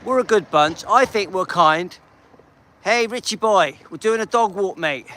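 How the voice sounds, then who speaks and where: A man's voice: sits high, lively intonation, a little slow, pushed and bright. An English man live streaming on a dog walk, chatting with viewers and reading out their comments in a rambling, friendly way. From England.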